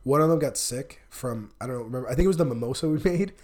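A man's voice: medium pitch, fast, a little mellow. A young man in casual chat, telling rambling stories with many fillers and swearing. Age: young adult.

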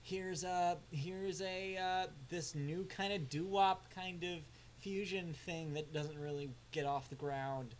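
A man's voice: high-pitched, fairly even intonation, slow pace, hardly any pauses, slight background noise. A man co-hosting a casual album review chat, laid-back and hesitant with lots of filler sounds, delivering blunt, dry verdicts. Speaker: music review co-host.

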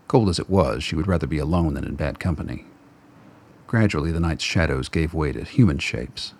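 A man's voice: sits low, husky, no echo. A man reading scripted narration for a radio drama as its narrator.

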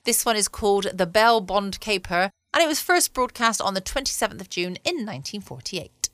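A woman's voice: mid-range voice, fairly quick, no room sound. A woman from England, chatting casually as host of a late-night podcast from home. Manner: chatty and relaxed, with small laughs.

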